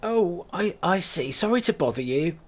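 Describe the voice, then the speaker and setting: A man's voice: high voice, lively intonation, moderate pace, voice pushed up. A man voicing a young woman, pleading urgently for help in one scene and chatting eagerly in another. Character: frightened young woman, later eager shop owner.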